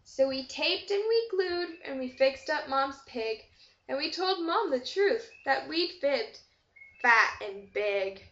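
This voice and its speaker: A woman's voice: sits high, lively intonation, slow, a bit bright. A woman reading a rhyming children's story aloud with expression. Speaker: storybook reader. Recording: dull echoey amateur room recording.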